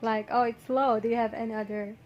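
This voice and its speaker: A woman from the Philippines with a Filipino accent, telling a story in a casual, chatty, animated and giggly way. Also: a little high, fairly quick, dull audio. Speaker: vlogger recounting her visa experience. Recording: faint background noise.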